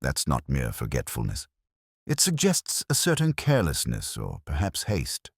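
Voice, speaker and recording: A man voicing a shrewd, coolly analytical Victorian gentleman detective with precise, formal speech in an audiobook. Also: very deep voice, huge pitch swings, moderate pace, vocal fry, husky, dry recording, clean studio sound, full character voice. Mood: musing, analytical. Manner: precise, formal, musing deductions.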